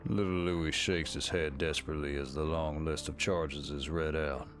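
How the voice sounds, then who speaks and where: Low-voiced man narrating a story. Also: steady tone, moderate pace, fairly bright tone, faint music behind. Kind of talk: second-person scripted story narration.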